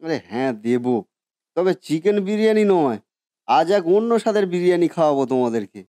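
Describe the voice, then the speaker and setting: A man acts out a village biryani cook for a cartoon story. He is eager, folksy and deferential, with a mellow, somewhat high male voice. The recording is dry. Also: expressive, fast talker, dry recording, close to an everyday voice. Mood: reassuring. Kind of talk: Bengali cartoon story voice acting.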